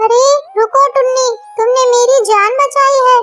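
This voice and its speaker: A woman's voice: very high-pitched, steady tone, moderate pace, a bit bright, voice pushed up. A woman voicing a grateful, generous female serpent in a cartoon story, speaking earnestly. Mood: grateful, kind. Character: grateful female serpent granting a magic gift.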